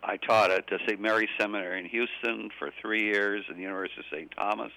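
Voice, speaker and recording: An American man in his seventies or older speaks as an interview guest. His voice is fairly low with a bright, forceful edge, recorded dry. He talks plainly and conversationally, like a seasoned priest and teacher. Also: steady tone, fast, vocal fry, no room sound, rough recording. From United States. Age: 70s or older.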